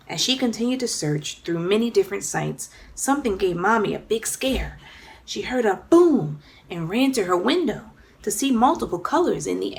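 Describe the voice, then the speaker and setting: A woman narrating a children's story aloud in an expressive, dramatic storytelling manner. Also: medium pitch, gravelly. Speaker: children's author reading own book.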